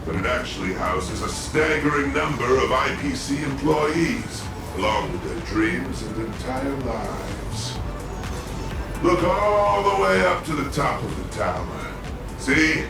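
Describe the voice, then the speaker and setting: A man's voice: mid-range voice, lively intonation, takes it slowly, creaky. An actor playing a weary superhero man who jokes at his own expense in a video game scene. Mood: wry. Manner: wry, joking, self-deprecating. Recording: distant, echoey game mix. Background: music.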